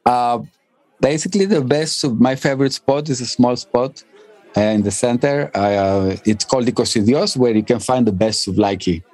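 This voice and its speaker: Mellow man with halting English. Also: mid-range voice. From Albania and northern Greece. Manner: halting non-native English phrasing. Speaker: interview guest, DJ and music producer. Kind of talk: casual interview answers.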